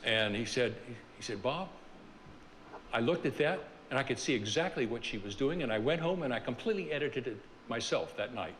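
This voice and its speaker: An older man giving a university seminar talk, reflecting on his career in a halting way, full of "uh"s and restarts. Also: medium pitch, lively intonation, fairly bright tone, in a large hall, a little hiss or hum. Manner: halting, many fillers and restarts. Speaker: seminar speaker. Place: large university seminar room.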